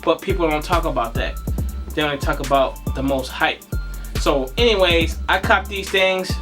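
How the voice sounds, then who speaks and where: A man, slightly raspy and under the weather with a cough, hosting a casual sneaker unboxing vlog in a slangy, laid-back way. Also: fairly high pitch, moderate pace, over music. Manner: slangy, laid-back, addresses viewers as y'all. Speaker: sneaker vlogger.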